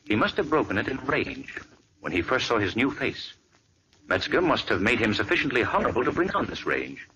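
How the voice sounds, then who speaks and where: A man playing a calm male detective reasoning through clues, in 1940s radio acting style. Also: mid-range voice, fairly quick, muffled recording, a crowd in the background, close to an everyday voice. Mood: probing.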